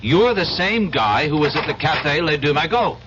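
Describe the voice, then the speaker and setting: An actor playing a brash, dogged young American man in a radio drama, with an American accent and blunt, excitable, halting speech. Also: on the high side. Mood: agitated, insistent. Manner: hesitant starts, blunt, excitable. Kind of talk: old-time radio drama acting. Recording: dull lo-fi radio recording, loud noise.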